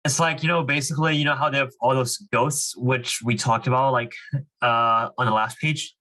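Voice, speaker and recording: A man's voice: mid-range voice, steady tone, moderate pace, pushed and bright, poor audio. A young man casually explaining and reading aloud to children as a reading club leader, with an informal way of talking peppered with "like" and "you know".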